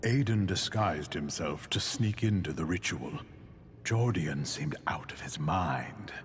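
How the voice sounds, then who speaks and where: A man performing the solemn scripted narration of a dark fantasy game saga. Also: deep voice, lively intonation, unhurried, a little hiss or hum. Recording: loud game ambience behind.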